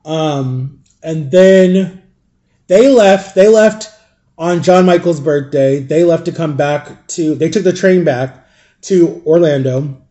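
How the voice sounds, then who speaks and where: A man in his early thirties with a fairly high voice and a soft, mellow tone, chatting casually to the camera. He rambles through a personal story, backing up to correct himself as he goes. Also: slow pace, muffled recording. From Florida. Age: 30s. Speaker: vlogger. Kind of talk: casual storytime chat to viewers.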